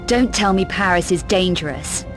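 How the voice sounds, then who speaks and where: A woman's voice: on the low side, moderate pace, music in the background, close to an everyday voice. A woman playing a tough, self-assured adventurer in a video game, with a terse, dry, unflappable delivery. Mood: cool, probing. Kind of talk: video game cutscene acting.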